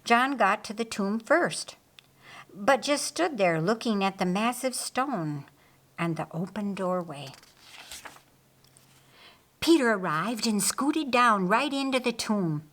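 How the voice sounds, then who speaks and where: Unhurried woman narrating an audiobook. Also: medium pitch, lively intonation, fairly bright tone. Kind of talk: dramatic scripted story narration.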